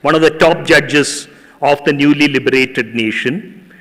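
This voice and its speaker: A middle-aged man whose first language is Tamil, giving an earnest, measured speech as a guest speaker at a ceremony. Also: fairly high pitch, fairly bright tone. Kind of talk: formal speech at an event. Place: hall at a public ceremony. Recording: echoey hall with long reverb.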